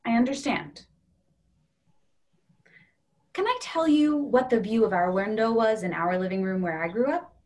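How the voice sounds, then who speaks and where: A young woman playing a matter-of-fact, gently stubborn partner in an absurdist play reading over a video call. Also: medium pitch, animated, normal speed, pauses often, close to an everyday voice. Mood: calm, matter-of-fact.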